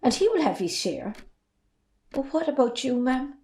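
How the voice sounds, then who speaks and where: A woman's voice: sits high, big pitch swings, normal speed, darkish tone. A young Irish woman acting a defiant, plain-spoken farm daughter in a stage drama. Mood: resolute. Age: young adult.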